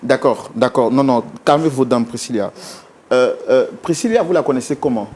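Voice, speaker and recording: Probing talk show host. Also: male voice, mid-range voice, moderate pace, a little mellow. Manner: probing, presses for direct answers.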